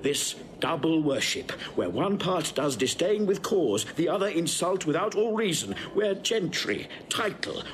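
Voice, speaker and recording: Proud Roman warrior, classical declamation, contemptuous, swooping delivery, slow pace, faint background noise. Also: male voice, sits high. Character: proud, scornful Roman warrior nobleman, furious at commoners. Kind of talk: classical Shakespearean stage acting. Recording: restored old recording, audible background noise.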